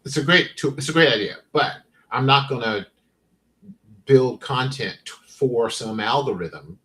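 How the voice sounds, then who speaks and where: A man's voice: medium pitch, measured pace, poor audio. A man co-hosting a casual livestream chat, telling stories animatedly and acting out past conversations. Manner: animated storyteller who acts out past conversations. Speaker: podcast co-host.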